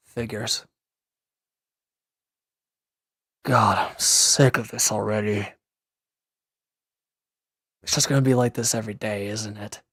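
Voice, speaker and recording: A man's voice: fairly low pitch, fairly even intonation, a little slow, long pauses, fairly bright tone, no room sound, clean studio sound. A man playing a wounded former villain in an audio roleplay, sullen, defensive and restless, talking in a curt, grudging, sarcastic way. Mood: fed up, bitter. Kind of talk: intimate audio roleplay acting.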